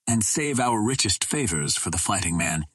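A man's voice: fairly low pitch, sing-song, normal speed, a bit bright, slightly rough, no echo, exaggerated voice. A man reading the part of a cordial, proud Martian man in an audiobook, giving advice in formal wording. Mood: cordial, advising. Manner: formal, advising.

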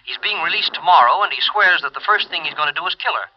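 A man's voice: a little high, expressive, fast talker, a little hiss or hum. An actor playing a hesitant middle-aged male insurance executive speaking over a telephone in a 1950 radio drama. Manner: hesitant, persuasive. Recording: thin phone-line radio sound.

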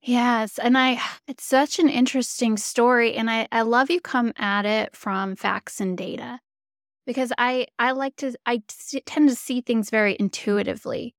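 Gravelly, smooth-voiced woman host. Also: fairly high pitch, steady tone, moderate pace, dry recording, clean studio sound. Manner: affirming, enthusiastic interviewer. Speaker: podcast host. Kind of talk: interview conversation.

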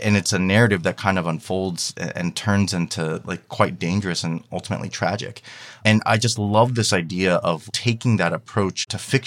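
A man speaking casually as an interview guest, conversationally explaining his creative work and voicing his own thoughts aloud. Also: sits low. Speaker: guest, fiction podcast creator.

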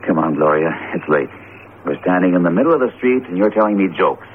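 A man's voice: low voice, expressive, fast. An actor playing a befuddled, weary man in an old radio drama, vague and apologetic in manner. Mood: confused, weary. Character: befuddled, weary man dismissing a friend's worries. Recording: tinny vintage radio broadcast, faint hiss.

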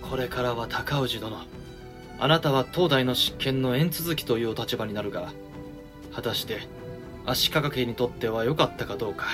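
Samurai regent male actor: grave, burdened, wary, formal and measured, middle register, light music bed. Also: mid-range voice, close to an everyday voice. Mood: solemn. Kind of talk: Japanese historical audio drama acting.

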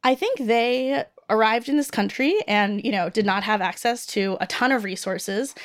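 A woman's voice: on the high side, normal speed. A woman from the Boston area, a restaurant owner, explaining things in an upbeat, casual way with frequent ums. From Boston area, United States. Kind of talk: casual conversation and cooking demo.

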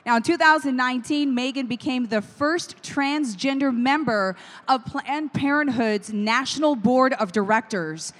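A woman's voice: high voice, a little slow. Enthusiastic woman emcee in a hall. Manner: hyping the audience, enthusiastic. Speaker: event emcee. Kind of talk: stage introduction. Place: large conference hall. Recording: echoing hall.

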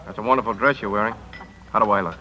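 An actor playing a sardonic, stubborn newspaper editor with a clipped, wry manner in a 1950s radio drama. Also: male voice, on the low side, rapid-fire, pushed and bright, poor audio. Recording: 1950s radio broadcast with faint noise.

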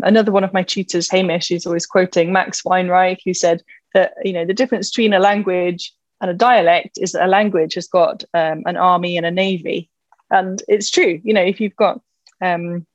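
A woman's voice: lowish voice, no room sound, highs cut off. Chatty woman, dry close sound. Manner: enthusiastic, uses many fillers like "you know". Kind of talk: casual conversation about languages.

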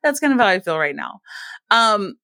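A woman in her thirties hosting a casual solo podcast, her voice deep and scratchy from allergies, talking in a chatty, friendly way. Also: sing-song, moderate pace, sharp tone, no room sound. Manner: chatty, friendly, uses y'all and like. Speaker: podcast host.

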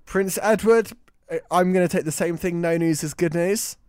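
A man with a fairly high voice answers questions as a radio sidekick. He is deadpan and understated, in casual banter. The sound is very dry. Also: normal speed, clear, resonant tone, no echo.

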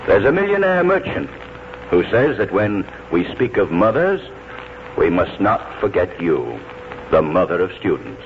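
An actor playing a dignified college president giving a measured, formal tribute in 1940s radio drama style. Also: male voice, sits low, big pitch swings, exaggerated voice. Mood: solemn, admiring. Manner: oratorical, measured ceremonial address. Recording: muffled old radio broadcast, faint noise.

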